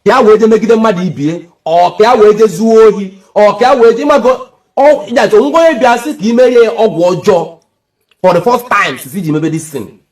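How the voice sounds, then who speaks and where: A man's voice: sits high, fast talker, a little mellow, distant mic. A man interviewing someone, asking questions and answering with short murmurs. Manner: asks questions, gives short murmured replies.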